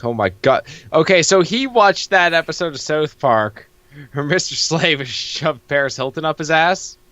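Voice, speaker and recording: A man's voice: on the high side, expressive, normal speed, fairly bright tone, no room sound, muffled recording. A man co-hosting a comic read-along, giving casual, mocking, profane commentary with an incredulous manner. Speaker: co-host reading a comic aloud.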